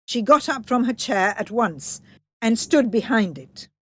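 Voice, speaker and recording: A woman's voice: on the high side, animated, measured pace, breathy edge. A woman narrating a children's story in a scripted read-aloud style.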